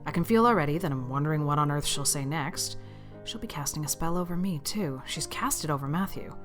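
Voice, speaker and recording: A woman playing a stern, practical older farm woman in storybook dialogue, brisk, grim and exasperated. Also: deep voice, animated, soft background music. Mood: muttering, puzzled. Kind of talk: dramatised audiobook dialogue.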